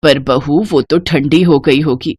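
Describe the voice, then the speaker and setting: A caring old mother-in-law from a storybook cartoon, put on by a woman. She sounds patient and motherly, her voice dropped low and soft-edged, recorded dry and close. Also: steady tone, measured pace, slightly rough, no room sound. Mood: gentle. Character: gentle, caring elderly mother-in-law with aching knees. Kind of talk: scripted Hindi moral-story cartoon voice.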